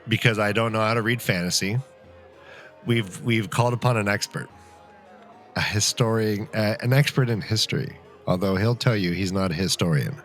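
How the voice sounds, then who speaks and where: Excitable male podcast host, faint music behind. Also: lowish voice, normal speed. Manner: excitable, stumbling over words. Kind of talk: jokey casual podcast chat.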